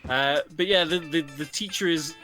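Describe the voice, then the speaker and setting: A man's voice: on the high side, moderate pace, over music. A man giving casual, chatty co-commentary on a video game speedrun.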